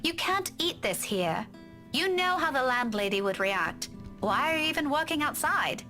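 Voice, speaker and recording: A motherly but stern young woman from a visual novel game. She speaks high and bright in a reproving, skeptical way, over soft music. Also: big pitch swings, moderate pace, full character voice. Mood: scolding.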